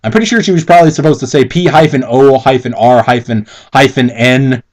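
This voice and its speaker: A man's voice: medium pitch, fairly quick. A man co-hosting a mocking dramatic reading, casually commenting with giggly, stumbling, exasperated profanity. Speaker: co-host reading and mocking fan fiction.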